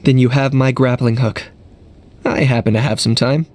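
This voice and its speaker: A man's voice: mid-range voice, fairly even intonation, moderate pace, a little mellow, close to an everyday voice. A man playing an aloof, grudging young man in a video game. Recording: game music behind.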